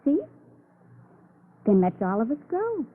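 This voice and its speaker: An American actress playing a tipsy, defiant, brittle woman in a 1950s radio crime drama, halting and insistent. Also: on the high side, sing-song, heavy background noise. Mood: petulant. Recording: narrow, tinny old radio recording.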